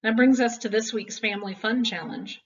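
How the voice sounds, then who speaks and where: A woman's voice: on the high side, normal speed. A woman reading a children's Bible story expressively, with dramatic, emphatic phrasing meant for young listeners. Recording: dull, distant home-style recording.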